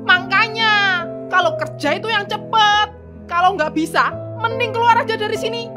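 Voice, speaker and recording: A shrill, stingy stall-owner wife. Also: female voice, moderate pace, over music. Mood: scolding. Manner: scolding, shrill and nagging. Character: stingy bossy wife, food stall owner, middle-aged. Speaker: voice actor. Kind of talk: cartoon drama voice acting.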